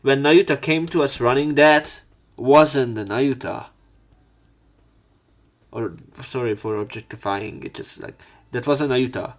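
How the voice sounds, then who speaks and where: A man reading a visual novel aloud with commentary, in a theatrical, expressive way. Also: medium pitch, a little slow. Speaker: let's player narrating and commenting on a visual novel. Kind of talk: dramatic read-aloud with commentary.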